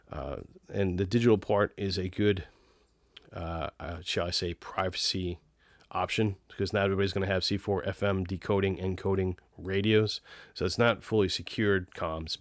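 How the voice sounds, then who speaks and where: A man's voice: low voice, no echo, clean studio sound. A man hosting a casual product review, explaining technical details in an informal way. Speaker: video host reviewing radios.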